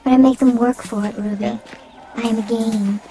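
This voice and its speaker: A woman's voice: on the high side, fairly even intonation, moderate pace, mellow, close to an everyday voice. Actress playing a flirty android woman. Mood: coy. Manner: stiff formal speech without contractions, flirty. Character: seductive female android, cool and evasive. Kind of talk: radio play acting. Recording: radio play with music underneath.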